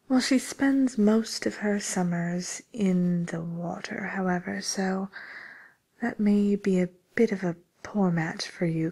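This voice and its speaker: A woman playing a polite, attentive matchmaker for villains in a whispered ASMR roleplay, with gentle, hesitant, breathy delivery. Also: on the low side, exaggerated voice. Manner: gentle, hesitant, courteous customer-service delivery. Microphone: close microphone.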